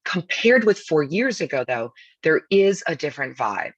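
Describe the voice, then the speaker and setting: A woman who is a law professor, speaking with a husky voice over a video call in a media briefing and explaining things in a brisk, informal way. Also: low-pitched, very animated, no echo, highs cut off. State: husky voice from nonstop talking.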